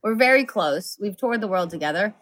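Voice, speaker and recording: A woman's voice: mid-range voice, brisk. A pregnant woman, a guest chatting and giggling in a podcast interview. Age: 20s. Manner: giggly, animated. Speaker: guest singer. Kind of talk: casual interview chat.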